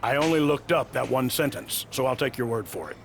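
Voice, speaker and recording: A man's voice: mid-range voice, animated, fairly quick, vocal fry, a little hiss or hum. A man playing a wrestling trainer in video game cutscene dialogue. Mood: businesslike. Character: wrestling trainer offering a career opportunity.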